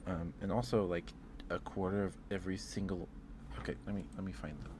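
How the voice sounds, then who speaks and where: A man's voice: low voice, flat delivery, a little fast, background noise. A man chatting casually on an ASMR livestream, rambling hesitantly and repeating his phrases, close to the microphone.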